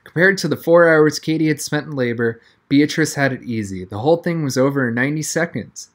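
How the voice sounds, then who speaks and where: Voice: medium pitch, a little fast. A reader narrating a first-person children's novel aloud in a conversational manner, as an audiobook.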